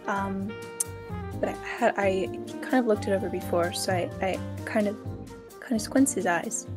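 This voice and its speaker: A woman's voice: medium pitch, moderate pace, music in the background. A woman casually narrating her character's actions as a player in a tabletop game.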